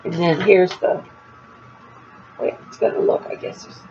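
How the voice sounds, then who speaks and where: A woman's voice: fairly low pitch, steady tone, unhurried. An older woman chatting at home about her crochet projects, rambling and easygoing, with a stuffed-up nose and a small cough. The sound is a bit dull, with a faint hum of the room behind her. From Florida. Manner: chatty, rambling, laughs at herself. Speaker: crochet vlogger. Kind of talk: casual chat to camera.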